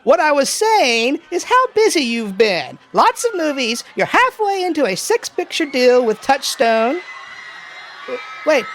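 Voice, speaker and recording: Woman acting lively red-carpet reporter over noisy ambience. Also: sits high, a little slow, a faint crowd, heavily acted. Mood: chatty, flustered. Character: female red-carpet TV reporter, chatty, trying to stay polite. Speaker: interviewer. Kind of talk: comedic radio drama acting. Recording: clearly noisy background ambience.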